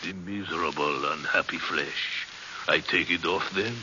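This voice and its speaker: An actor playing a gleefully macabre, crazed old hermit with a gravelly voice and a French accent, in theatrical old radio horror style. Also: male voice, sits low, unhurried, bright, forceful tone, full character voice. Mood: eerie coaxing. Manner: theatrical, wheedling, erratic swings into shrieks. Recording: hissy, dull old radio recording.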